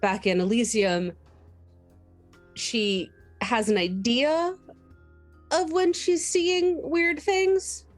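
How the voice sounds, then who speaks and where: A woman playing a tabletop roleplaying game, explaining her character casually in a halting, thoughtful way. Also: fairly high pitch, steady tone. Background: music.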